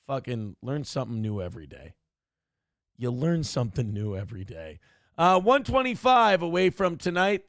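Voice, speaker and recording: Gravelly, lively-voiced male streamer. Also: on the high side, dry recording, studio quality. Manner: profane, reads donor messages aloud. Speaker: streamer and show host. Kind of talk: casual livestream commentary.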